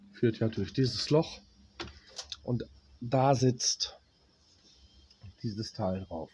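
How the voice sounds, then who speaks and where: German repairman with a cold; blunt, unhurried, talks while working. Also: medium pitch, warm tone, breathy edge. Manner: blunt, plain-spoken, swears at cheap gear; pauses while working, talks to himself as he checks parts. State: has a cold, coughing. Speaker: appliance repairman. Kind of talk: hands-on repair explanation.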